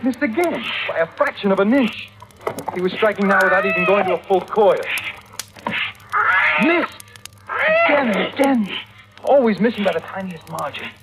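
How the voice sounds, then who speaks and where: A man's voice: sits high, lively intonation, moderate pace, long pauses, heavy background noise. An actor playing a guilt-ridden young seaman narrating his own terror in an intense, haunted old radio drama style. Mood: frantic suspense. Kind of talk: 1940s-50s radio drama acting, first-person suspense narration. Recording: vintage radio recording with loud music.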